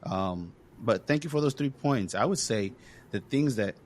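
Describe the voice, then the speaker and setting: A man's voice: medium pitch, lively intonation, measured pace, slight background noise. A man from Congo hosting a podcast, talking earnestly and encouragingly in casual conversation, with lots of fillers. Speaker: podcast host.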